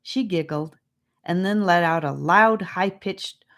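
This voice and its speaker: A woman narrating a children's audiobook in an expressive, scripted storytelling style. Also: lowish voice, slow.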